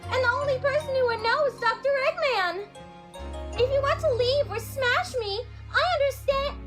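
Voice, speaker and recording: A woman voicing a gentle, unsure young robot girl in a fan dub, speaking hesitantly with stammers. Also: very high voice, normal speed. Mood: earnest. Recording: loud music bed.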